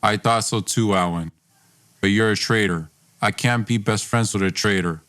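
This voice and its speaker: A man's voice: fairly low pitch, normal speed, a bit bright, natural voice. Guy playing a bitter young dude turning on his best friend, accusing, confrontational, story reenactment. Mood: angry, final. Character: hostile, bitter young male best friend demanding money. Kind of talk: acted dramatized dialogue.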